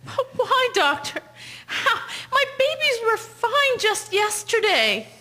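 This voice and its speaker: High, bright-voiced earnest wife, acted by a woman. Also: sing-song, slow, exaggerated voice. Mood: grief-stricken. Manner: earnest, emotional, pleading. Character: anxious, pleading young wife and devoted mother, 1910s. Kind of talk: dramatic historical audio play acting.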